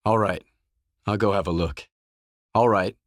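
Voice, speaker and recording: An actor playing a gruff, guarded, hard-bitten mercenary in a video game, speaking in terse, blunt, businesslike lines. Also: male voice, fairly low pitch, measured pace, dry recording, studio quality, natural voice. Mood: probing, terse.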